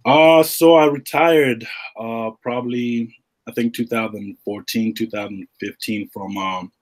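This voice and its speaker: A middle-aged man from Uganda, a retired basketball player, answering podcast questions in a relaxed, halting way with many fillers. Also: medium pitch. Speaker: guest, retired pro basketball player and agent. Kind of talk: podcast interview.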